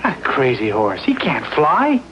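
A man speaking sitcom dialogue in a slow, grumbling way. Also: mid-range voice, huge pitch swings, heavy background noise. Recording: dull lo-fi TV audio over music.

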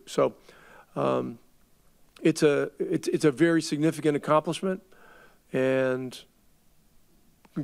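A middle-aged man, a head coach, answering reporters at a postgame press conference in a measured way, often restarting his words as he thinks. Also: medium pitch, vocal fry, darkish tone. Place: arena press room. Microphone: table mic.

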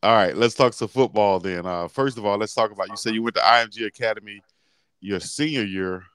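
A man with a low, smooth voice hosts a relaxed sports talk interview. He speaks through a headset on a video call, chatty and easygoing, with plenty of fillers. The sound is close and dry. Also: brisk, dry recording. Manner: laid-back, chatty, frequent "uh" fillers. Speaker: sports talk show host. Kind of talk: casual interview. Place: indoor room, video call.

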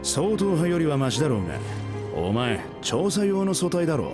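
A blunt young adventurer in a game cutscene, his voice swinging widely in pitch. He talks in a casual, rough-edged way, with game music playing clearly behind him. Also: sing-song, moderate pace. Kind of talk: video game cutscene voice acting. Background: game soundtrack music.

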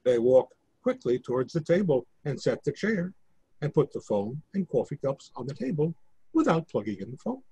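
A man with a very raspy, slightly high voice narrates stage directions for a play reading. His delivery moves up and down in pitch. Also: sing-song, normal speed, dark tone, no echo, rough recording. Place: video call.

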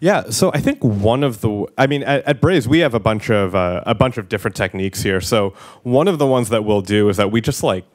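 A man's voice: on the low side, animated, a little fast, few pauses. A man in his thirties speaking as a guest at a tech meetup fireside chat, conversational with filler words and restarts, on a handheld mic in an event room. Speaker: product executive and guest speaker.

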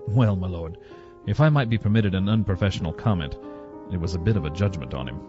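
A man acts out a formal, deferential solicitor addressing his lord in an audio drama. His low voice swings in pitch while staying measured and respectful. The sound is dull and lo-fi, with music underneath. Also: expressive. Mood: grave, discreet. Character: deferential male solicitor attending an English lord.

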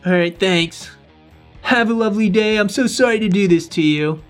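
A man's voice: sits high, normal speed, faint music behind, close to an everyday voice. A man acting a scheming but very polite, apologetic male robber in a game roleplay. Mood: politely menacing.

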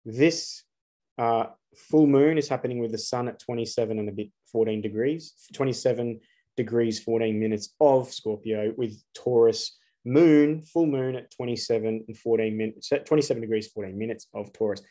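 A man's voice: mid-range voice, normal speed, dark tone. Earnest man in his forties. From Australia. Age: 40s. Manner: earnest, emphatic, rhetorical questions. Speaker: astrologer. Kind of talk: solo spiritual talk to camera.

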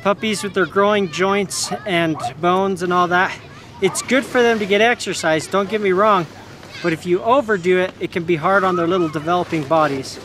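A man's voice: high voice, normal speed, a bit bright, some chatter behind. A man in his thirties talking casually and with animation as a dog trainer hosting an outdoor vlog, affectionate with animals. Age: 30s. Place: outdoors in a snowy park. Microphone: camera a few feet away. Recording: noisy outdoor camera audio.

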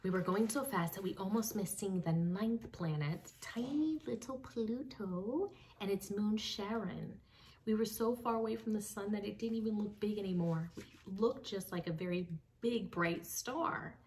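A young woman reading a children's book aloud expressively, with dramatic pauses, addressing young listeners. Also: mid-range voice, a little mellow. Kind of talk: expressive read-aloud storytelling. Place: small home room with bookshelves. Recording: slightly distant mic, faint background noise.

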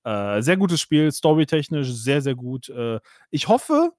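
A man's voice: medium pitch, big pitch swings, a little slow, darkish tone, no echo, clean studio sound. A man hosting a casual podcast about games, chatty and full of fillers, laughing now and then. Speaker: podcast host. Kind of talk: casual gaming podcast chat.

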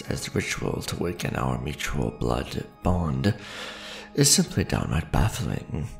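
Ancient vampire lord, played by a man for ASMR roleplay: imperious, gravelly and low, with sweeping, dramatic pitch swings. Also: exaggerated voice. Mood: weary, affectionate. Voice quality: gravelly, creaky. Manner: formal, grandiose, measured, dramatic. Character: centuries-old male vampire lord, imperious, aristocratic, tender to his beloved.

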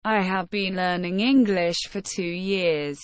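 A woman reading short English phrases for learners, slow and careful, evenly spaced. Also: on the low side, smooth, no room sound.

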